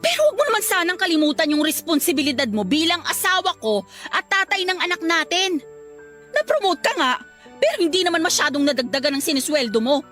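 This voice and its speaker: A woman's voice: extremely high pitch, expressive, fast talker, fairly bright tone, faint music behind. A woman with a Filipino accent playing a fed-up wife and caring mother in a radio drama. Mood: sarcastic, reproachful.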